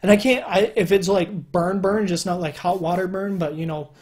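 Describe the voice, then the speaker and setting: A young man with a fairly high voice, often mistaken for a woman, chatting casually and rambling to camera at a desk at home. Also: fairly even intonation, moderate pace, a little mellow. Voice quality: higher-pitched male voice, sometimes mistaken for a woman. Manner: chatty, rambling, many fillers and restarts. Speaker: YouTuber. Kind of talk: casual solo commentary. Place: indoor room at home.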